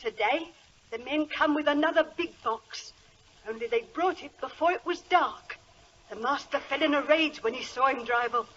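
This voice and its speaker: An actress playing a frightened, superstitious country housemaid, in excitable 1940s radio style. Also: female voice, sits high, normal speed. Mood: hushed, agitated. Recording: muffled, noisy old radio recording.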